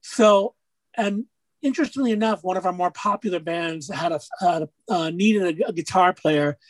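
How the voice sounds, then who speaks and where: A man with a raspy speaking voice, a musician guest on an interview, talking casually and rambling through stories with lots of fillers. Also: sits high, normal speed, no room sound, low-quality recording. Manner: rambling storyteller, many fillers.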